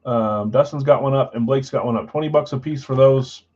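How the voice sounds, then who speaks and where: A man's voice: a little fast, darkish tone, highs cut off. A man hosting a live trading card break stream, casually reading out buyers and spots in a matter-of-fact voice he calls boring. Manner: self-described boring voice, low-key and matter-of-fact.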